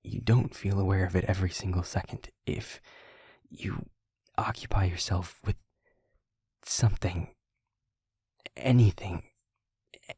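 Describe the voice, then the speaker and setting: A soft-spoken male vampire, acted by a man in an intimate audio drama. His voice is mellow, very breathy and captured close and dry. He speaks haltingly and with care, reassuring his newly turned partner. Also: deep voice, fairly even intonation, no echo, heavily acted. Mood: gentle, reassuring. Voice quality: breathy, husky, near-whispering. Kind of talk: intimate audio roleplay monologue.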